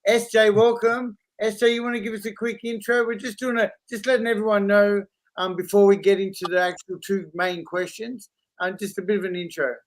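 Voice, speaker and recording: Brief: high-pitched male host, casual and upbeat, video call audio. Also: steady tone, fairly quick. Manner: upbeat, warm introductions with fillers. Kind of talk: live stream chat.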